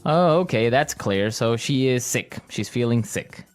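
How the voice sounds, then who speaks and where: A man co-hosting an English lesson podcast, upbeat and repeating phrases slowly for learners to copy. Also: mid-range voice, lively intonation, clear, resonant tone, dry recording, faint music behind. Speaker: podcast co-host, English teacher.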